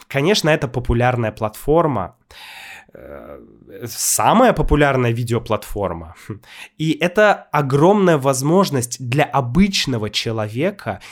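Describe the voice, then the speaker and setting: Casting: young adult man, mid-pitch, reflective and unhurried, pausing to find his words. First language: Russian. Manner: thoughtful, hesitant, with many fillers and restarts. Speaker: Russian language teacher and YouTuber. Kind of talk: casual explanatory monologue.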